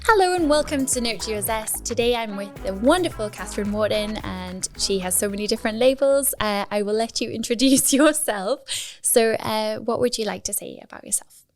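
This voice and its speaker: A woman's voice: on the high side, moderate pace, soft background music. A woman hosting a podcast interview in a studio, talking in an upbeat, encouraging way. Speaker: podcast host.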